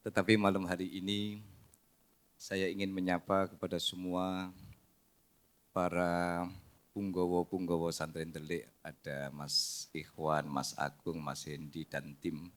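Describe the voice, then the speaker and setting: Deep-voiced male preacher. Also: a little slow, pauses often. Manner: greets loudly, then drops to relaxed chatty asides. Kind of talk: opening a religious talk.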